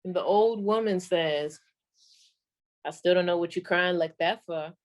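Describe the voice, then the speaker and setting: A woman acts out a 1940s stand-up comedienne. Her voice sits a little low, and she tells her stories very slowly, with folksy, deliberate timing. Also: close to an everyday voice. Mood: storytelling, deadpan. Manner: folksy, drawn-out, deliberate delivery.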